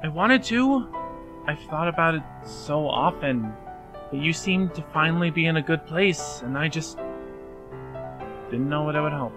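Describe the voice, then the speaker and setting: A man's voice: fairly high pitch, animated, unhurried, pauses often, over music. A man playing an anxious, self-blaming young man in a fan dub drama, speaking earnestly and hesitantly. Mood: hesitant, guilty.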